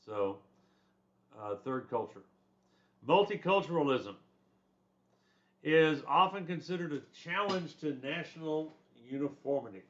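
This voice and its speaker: A man's voice: a little high, lively intonation, a little slow, pauses often. An older man, a retired soldier, lecturing casually on a textbook chapter in a rambling, conversational way with restarts and asides. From born in Germany, raised in the United States. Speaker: instructor. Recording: slightly dull lo-fi recording.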